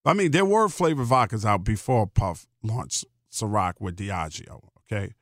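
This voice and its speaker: A man's voice: fairly low pitch, animated, moderate pace, fairly bright tone, dry recording. American man rambling casually, very dry sound. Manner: rambling, emphatic repetition, salty slang. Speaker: podcast host. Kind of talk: casual solo podcast monologue.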